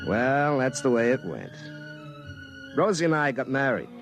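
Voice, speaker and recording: A man's voice: mid-range voice, big pitch swings, measured pace. An actor playing a folksy young lineman in love, talking colloquially in a 1950s radio drama style. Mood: wry storytelling. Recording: vintage radio broadcast with music.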